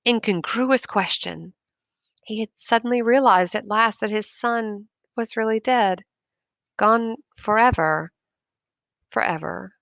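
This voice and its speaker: Bright-voiced woman reading literature aloud. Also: fairly high pitch, takes it slowly, vocal fry, dry recording. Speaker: narrator reading a short story, teacher. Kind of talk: expressive literary read-aloud with commentary.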